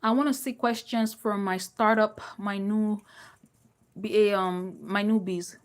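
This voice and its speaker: A woman's voice: mid-range voice, steady tone, measured pace. A woman with a Nigerian accent coaching informally in a live online session, emphatic and repeating phrases for stress as she answers questions. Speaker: business coach.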